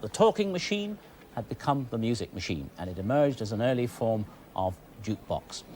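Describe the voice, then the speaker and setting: A British man presenting a history programme in a measured, explanatory and slightly wry way. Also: medium pitch, very animated, darkish tone, slight background noise. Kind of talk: television history programme narration.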